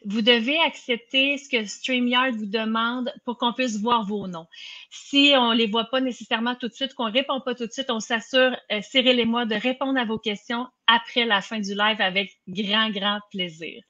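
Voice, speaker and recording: A woman's voice: on the high side, steady tone, normal speed, sharp tone. A woman hosting a live online interview over a video call, friendly and enthusiastic, with filler words. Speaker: host of the interview. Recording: dull lo-fi video call audio.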